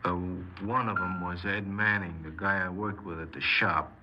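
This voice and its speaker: Male actor as young criminal, low voice, cold, terse, guarded, 1950s radio drama, muffled noisy broadcast. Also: fairly even intonation, moderate pace, lightly acted. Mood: halting confession. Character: cold, embittered young man confessing his crimes.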